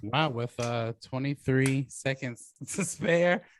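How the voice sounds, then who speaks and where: A man hosting and judging a casual debate, speaking haltingly with repeated words and frequent chuckles. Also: mid-range voice, slight background noise. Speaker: debate host and judge. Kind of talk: casual moderating and commentary.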